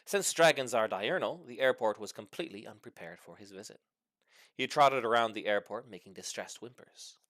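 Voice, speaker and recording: A man's voice: on the low side, animated, moderate pace, a bit bright, no room sound. A man reading a funny short story aloud as scripted narration, with theatrical, mock-serious emphasis. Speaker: story reader.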